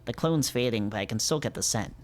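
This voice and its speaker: A man's voice: mid-range voice, animated, brisk. A man hosting a visual novel read-through, moving between narration and casual chat. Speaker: host of visual novel readings.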